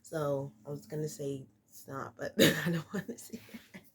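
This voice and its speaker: Slow-talking stuffy-nosed woman vlogger. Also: low voice, breathy edge. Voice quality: nasal, congested. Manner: rambling, with many fillers and restarts. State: stuffy nose from a cold. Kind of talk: casual talk to camera.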